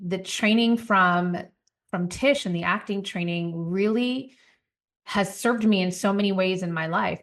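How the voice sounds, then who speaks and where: Guest, woman in her 40s, founder recounting her path, reflective and chatty. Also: lowish voice. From Puerto Rico. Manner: reflective, storytelling, animated asides. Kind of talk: podcast interview.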